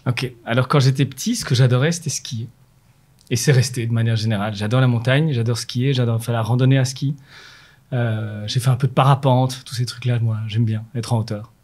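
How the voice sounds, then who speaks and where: A middle-aged man speaks at an ordinary pitch, steady and explanatory. He is a manager answering an interviewer's corporate questions, pausing on fillers and restarting phrases as he thinks. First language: French. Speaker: renewables manager at an energy company.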